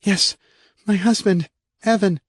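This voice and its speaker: A man's voice: sits high, slow, slightly rough, no echo, studio quality, voice pushed up, exaggerated voice. A man voicing a desperate woman crying out for her missing husband in an audiobook. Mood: frantic. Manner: desperate, pleading cries.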